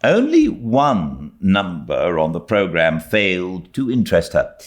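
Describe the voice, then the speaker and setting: A British male audiobook narrator with a low voice. He reads literary prose at an unhurried pace, with lively, sweeping intonation that shapes each phrase. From Britain. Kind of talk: expressive literary story narration.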